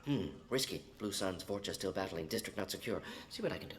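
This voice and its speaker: Rapid-fire clipped alien scientist doctor. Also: male voice, deep voice, lively intonation. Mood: clinical, matter-of-fact. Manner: clipped telegraphic sentences, matter-of-fact. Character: brisk, clever alien salamander-like scientist doctor, ex-operative. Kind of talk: video game dialogue acting.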